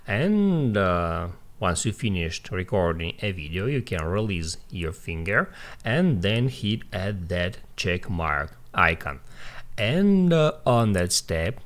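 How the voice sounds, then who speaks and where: Male tutorial host, low voice, very varied intonation, unhurried step-by-step delivery. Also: big pitch swings, a bit bright. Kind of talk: scripted how-to tutorial narration.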